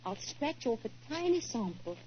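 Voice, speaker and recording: Young woman, fairly high voice, eager, polite, deferential, muffled noisy old radio drama. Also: very animated, moderate pace, warm tone. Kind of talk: 1940s radio drama acting. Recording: dull, noisy 1940s radio recording.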